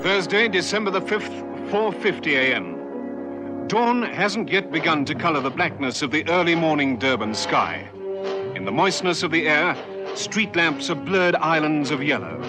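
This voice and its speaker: A man with a slightly high voice narrates a vintage radio crime drama. His delivery is deliberate and atmospheric, with music clearly playing behind him. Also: expressive. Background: music and vehicle sounds.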